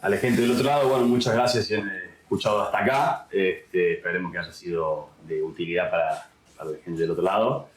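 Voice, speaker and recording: A man's voice: low voice, fairly even intonation, a little fast. An Argentine man hosting a casual interview, talking in an informal conversational way. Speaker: host. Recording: echoey room with background voices.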